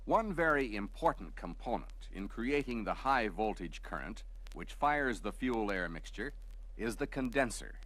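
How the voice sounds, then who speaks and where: A man narrates scripted instructional material in a measured, explanatory way, like a training film narrator. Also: lowish voice, big pitch swings, fairly bright tone. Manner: measured, explanatory, clearly structured delivery.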